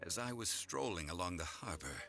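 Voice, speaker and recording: A very deep, composed immortal god for a video game. He speaks formally and with grave dignity, his tone bright-edged over faint music. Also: sing-song, creaky, heavily acted. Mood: reflective. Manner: formal, measured, archaic. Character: ancient male god, solemn, composed and dignified. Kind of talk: video game cutscene acting.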